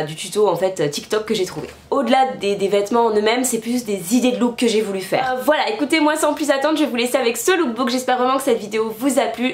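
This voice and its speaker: A young woman in her twenties chatting casually to her viewers in a vlog, a bit stuffy from a cold, rambling with lots of fillers. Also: fairly high pitch. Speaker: beauty YouTuber. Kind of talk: casual vlog chat to camera. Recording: echoey room.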